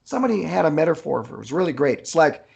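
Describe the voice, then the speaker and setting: A man with a gravelly, husky voice chatting casually as a guest author, folksy and good-humored in his storytelling. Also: fairly high pitch, very animated, rapid-fire, muffled recording.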